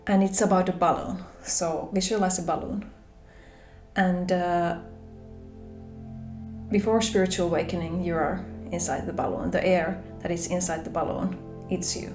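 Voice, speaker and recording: A woman with a Finnish accent, speaking English as a second language, shares gentle reflections on a spiritual journey in a soft, pausing manner. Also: on the low side, flat delivery. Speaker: spiritual vlogger. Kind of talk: gentle reflective monologue to camera. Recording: roomy echo with soft background music.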